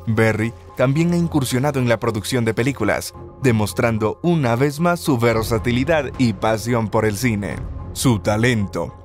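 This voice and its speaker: A man giving steady, scripted narration for a countdown video. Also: mid-range voice.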